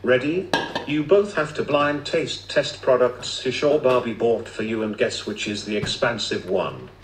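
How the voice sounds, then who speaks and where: A man's synthetic voice flatly reading out viewer messages. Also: mid-range voice, unhurried, crowd noise. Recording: echoey room with loud kitchen clatter.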